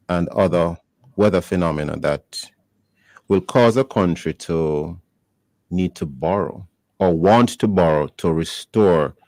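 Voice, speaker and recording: A man hosts a radio talk show in a very low voice. He speaks in a measured, formal way with a dry, wry edge. The close studio mic sounds dry. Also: darkish tone, dry recording. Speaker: radio host. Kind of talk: radio interview.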